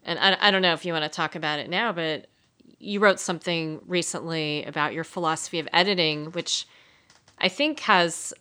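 A woman's voice: low-pitched, normal speed, pushed and bright, smooth. A woman co-hosting a podcast, chatting casually in a reflective, interested way. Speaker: podcast co-host. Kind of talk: casual conversational podcast talk.

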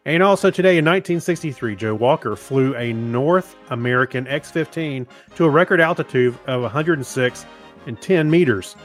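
A man's voice: mid-range voice, normal speed. A man with a mid-range voice co-hosts a podcast. He reads out short items and chats in a wry, casual, bantering way. Faint music sits behind him. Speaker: podcast co-host. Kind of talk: casual chat with listener feedback and trivia.

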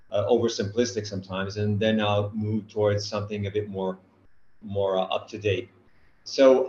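Halting man lecturing. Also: fairly low pitch, darkish tone, slight background noise. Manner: hesitant, many filler sounds, dry humor. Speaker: guest lecturer, physician. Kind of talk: academic lecture. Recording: roomy, dull-sounding call audio.

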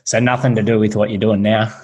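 A man's voice: lowish voice, flat delivery, fast talker, a little mellow, husky. A man asking questions informally as the interviewer in a casual conversation.

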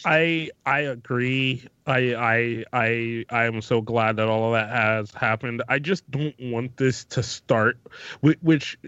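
A man's voice: mid-range voice, steady tone, takes it slowly, a bit bright, clear, resonant tone, dry recording. A male podcast guest talks in a mid-range voice, stammering at the start of his lines and swearing bluntly. His audio is lo-fi and muffled. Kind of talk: casual chat.

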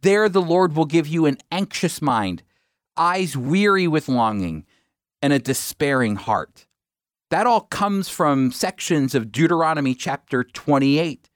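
A man's voice: on the high side, lively intonation, measured pace, dry recording, clean studio sound. A man giving sermon-like Bible teaching in an emphatic, explanatory manner, repeating phrases for stress.

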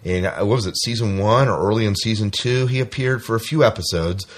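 Male podcast host, lowish and raspy-edged, chatty and informal news delivery. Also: quick pace. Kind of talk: casual sci-fi news chat.

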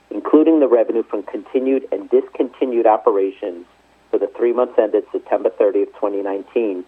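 Low husky man on a phone line. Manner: formal, reading figures methodically. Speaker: company finance executive presenting results. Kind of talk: scripted earnings report read aloud. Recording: muffled narrow phone conference line.

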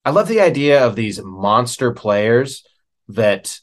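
A man's voice: mid-range voice, sing-song, slow pace. A man speaking as an interview guest and musician, chatting informally in a rambling, anecdotal way. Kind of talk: casual anecdotal chat.

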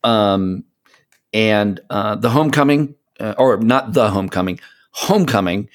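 Male podcast guest, low-pitched voice, casual and rambling, feeling around for the right words. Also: animated, measured pace. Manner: rambling, hesitant searching for words. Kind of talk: casual podcast chat, recommending audio dramas.

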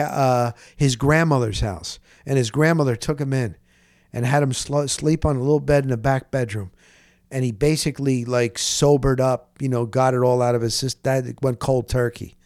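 A man hosting a sports talk show, telling stories in a casual, rambling, conversational way with an animated, exclamatory manner. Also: mid-range voice, clean tone, dry recording, clean studio sound.

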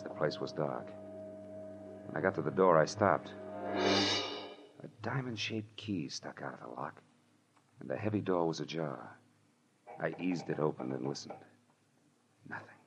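An American man playing a world-weary, wry private detective who narrates his case in clipped 1940s radio drama style. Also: very deep voice. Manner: clipped, wry, hardboiled delivery. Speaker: lead actor and narrator. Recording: dull old radio drama recording, music.

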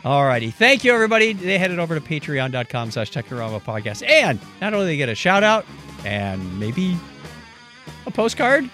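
Man, podcast host, higher-pitched, very animated intonation, casual, playful. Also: fairly quick, soft background music. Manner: joking, playful. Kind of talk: casual co-hosted podcast chat.

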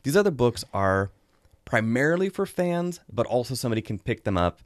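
A man's voice: mid-range voice, big pitch swings, brisk, dry recording, studio quality. An American man hosting a review show, talking casually as he gives his take. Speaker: host of a film and book review show.

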